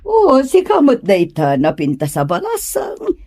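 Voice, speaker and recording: Woman acting an older Ilocano mother, animated delivery. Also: high voice, unhurried, vocal fry, no echo, exaggerated voice. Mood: coaxing, teasing. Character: ailing, meddling older mother, coaxing and matchmaking. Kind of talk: Ilocano radio drama acting.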